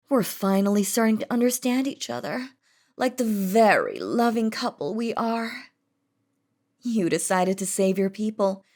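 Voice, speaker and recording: A woman's voice: medium pitch, a little mellow. A woman voicing a possessive, commanding demon queen in a romantic audio roleplay, dramatic and by turns threatening and doting. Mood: triumphant, possessive.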